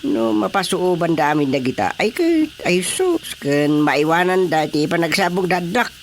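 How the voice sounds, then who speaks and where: A man's voice: a little high, animated, normal speed, a little hiss or hum. A man playing a folksy middle-aged rural father in a radio drama comedy, with an Ilocano accent. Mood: admiring, animated.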